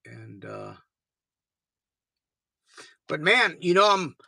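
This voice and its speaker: A man in his fifties coaching viewers through a motivational livestream, his voice bright and forceful. He talks bluntly and informally, drops the odd curse, and circles back to repeat his key phrases. The sound is close and dry, with no room around it. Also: fairly high pitch, big pitch swings, drawn-out words, gravelly, breathy edge, no room sound. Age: 50s. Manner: earnest, repeats phrases for emphasis; blunt and informal, swears casually. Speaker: gambling recovery coach.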